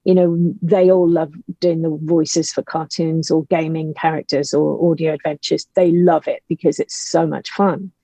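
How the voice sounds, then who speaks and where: A woman's voice: sits low, little pitch movement, normal speed, hardly any pauses, warm tone. A woman speaking as an interview guest, giving her opinions emphatically in a conversational way. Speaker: podcast guest, audio drama writer and actor. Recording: dull, lo-fi, roomy call audio.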